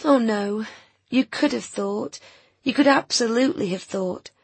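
A woman voicing a gentle, earnest young woman in an audiobook, pleading and full of emotion and pity. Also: moderate pace, breathy edge, no room sound. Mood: tender, tearful. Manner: gentle, pleading, higher-pitched than the narration. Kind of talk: audiobook character dialogue.

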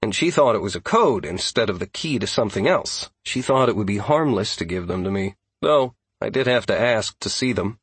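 An actor voices a wry, steady man in audiobook dialogue. His mid-range voice is husky and expressive in its rise and fall. He talks in a measured, conversational way, recorded close without room echo. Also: on the low side. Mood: matter-of-fact. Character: wry, unflappable man, the dog's companion.

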